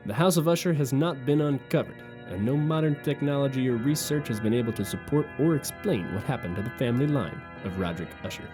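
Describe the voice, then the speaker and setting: An actor playing the solemn host of a conspiracy-mystery show, giving scripted narration in a portentous, deliberate manner. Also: male voice, medium pitch, animated, lightly acted. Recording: clear guitar music underneath.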